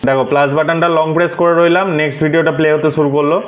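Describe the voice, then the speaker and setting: A man's voice: fairly high pitch, level tone, racing, highs cut off, over music. A man gives a casual hands-on tutorial as a vlogger, explaining things and mixing English words into his sentences. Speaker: vlogger presenting a product unboxing.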